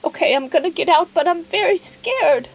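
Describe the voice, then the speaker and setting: Lady playing a scared grieving widow, really high voice that jumps around, hesitant, pleading, horror drama. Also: animated, normal speed, creaky, slight background noise, full character voice, low-quality recording. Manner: hesitant, pleading, repeats herself.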